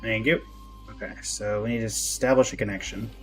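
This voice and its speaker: A man's voice: lowish voice, steady tone, a little fast, soft background music. A man giving casual gameplay commentary, talking to himself as he plays. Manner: talks to himself while playing. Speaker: game streamer.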